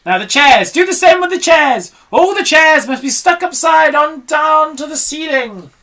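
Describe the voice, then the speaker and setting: A reader voicing an excitable, bossy monkey father who yells orders in a high, bright voice. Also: a little slow, exaggerated voice. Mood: frantic. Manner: shouting orders, frantic. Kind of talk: storybook read-aloud.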